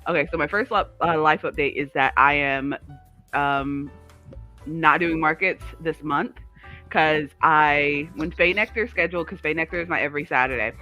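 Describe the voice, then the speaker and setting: Bright, higher-pitched man chatting over music. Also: moderate pace. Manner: chatty, digressive, talks to live viewers. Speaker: livestream co-host. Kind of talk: rambling casual chat.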